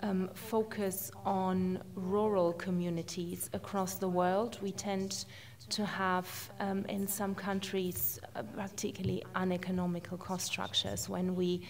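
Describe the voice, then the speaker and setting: Woman panelist, slow and flat delivery, hesitant. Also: mid-range voice, vocal fry. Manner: hesitant, many fillers. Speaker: panelist from a development charity. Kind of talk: panel discussion answer.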